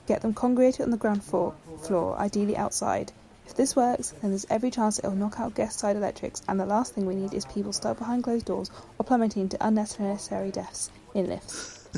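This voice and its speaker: A woman's voice: medium pitch, steady tone, brisk, gravelly, mellow, lightly acted. Woman doing a brainy young space traveller girl, businesslike n exact, bit pert, sci-fi radio play. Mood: brisk, focused. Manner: precise, businesslike, slightly pert. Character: brisk, logical young female space traveller and genius. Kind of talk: science fiction audio drama acting.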